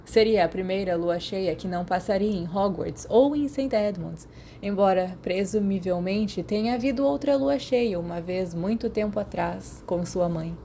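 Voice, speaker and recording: A woman's voice: mid-range voice, lively intonation, moderate pace, creaky, warm tone, a little hiss or hum. A Brazilian woman reading a story aloud as a scripted audiobook narration. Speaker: podfic narrator.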